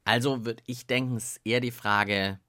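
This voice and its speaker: A man co-hosting a children's science podcast in playful, unscripted banter, musing out loud as he goes. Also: medium pitch, fairly even intonation, moderate pace.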